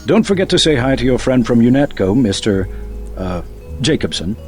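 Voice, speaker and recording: An actor playing a composed, formal man in a video game, speaking in measured tones. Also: medium pitch, very animated, darkish tone, soft background music, close to an everyday voice.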